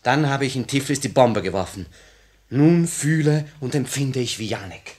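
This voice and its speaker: An actor playing an earnest, emotional, devoted revolutionary in a 1950s German radio play, solemn and heartfelt. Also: male voice, medium pitch, animated, moderate pace, a little mellow, lightly acted. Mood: fervent. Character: earnest, emotional male revolutionary, once fearful, now devoted.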